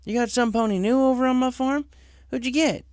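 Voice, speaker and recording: A man's voice: very high-pitched, a little fast, darkish tone, clear, resonant tone, no echo, studio quality, pitched above the performer's own voice. A man voicing a friendly, easily surprised female farm pony in a story reading. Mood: amazed.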